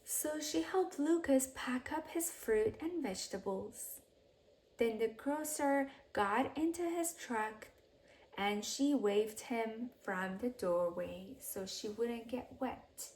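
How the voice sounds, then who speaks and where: A woman narrating a children's storybook aloud in an expressive bedtime-story style, with measured phrasing and softly hushed sentence endings. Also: on the high side, a little hiss or hum, rough recording.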